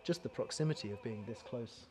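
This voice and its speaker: A man's voice: mid-range voice, brisk, a crowd in the background. A male opera singer in a promotional interview, speaking eagerly and thinking aloud with repeated words.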